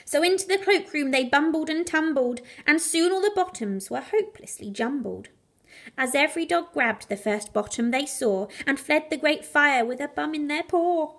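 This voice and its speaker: Female storyteller, high-pitched, expressive children's bedtime read, animated rhyming delivery with dramatic emphasis. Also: moderate pace. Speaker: primary school teacher reading a bedtime story.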